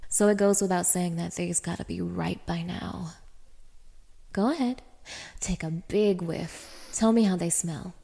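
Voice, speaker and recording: A woman's voice: fairly low pitch, a little slow, gravelly, mellow, husky, exaggerated voice. A woman playing a cruel, haughty young dominatrix in an audio roleplay, speaking straight to the listener with taunting, commanding contempt. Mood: scornful, menacing. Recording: reverberant, echoing room.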